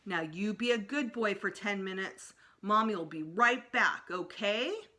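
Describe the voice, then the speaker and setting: Woman acting a doting, fussing mother, animated. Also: mid-range voice, measured pace. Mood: instructing. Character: doting, anxious mother of young boys.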